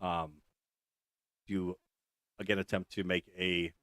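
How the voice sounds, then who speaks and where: A man running a tabletop game as game master, narrating in a relaxed, unhurried way with fillers. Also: low-pitched, smooth, dry recording, clean studio sound.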